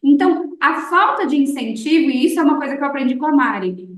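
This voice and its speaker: A woman of twenty-nine with a Brazilian accent hosting a casual interview in an expressive, emphatic, colloquial way. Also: high voice, fast. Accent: Brazilian Portuguese. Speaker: interview host, English teacher. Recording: echoey room, distant from microphone.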